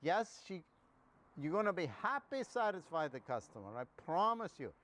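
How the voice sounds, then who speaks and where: High-voiced, animated casting: actor as obliging Italian hustler, repetitive and halting, slow phone patter for sketch comedy. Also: male voice. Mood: eager, reassuring. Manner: halting, repetitive, spells things out slowly.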